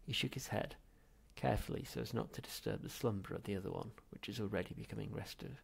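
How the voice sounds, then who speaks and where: A man's voice: fairly low pitch, fast, vocal fry, husky. A man reading a novel aloud as scripted story narration. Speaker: audiobook reader.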